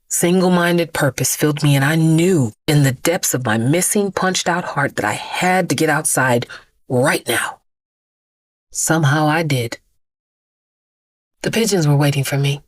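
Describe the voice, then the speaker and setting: An actress playing an immortal vigilante woman, weary, haunted and defiant, telling her story in an intense, measured audio drama monologue with hushed drops. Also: low voice, no room sound.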